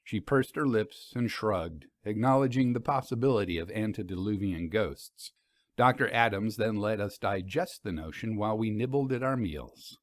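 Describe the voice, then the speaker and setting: A man narrating a literary story from a script in a measured, expressive way. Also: on the low side, no room sound, studio quality.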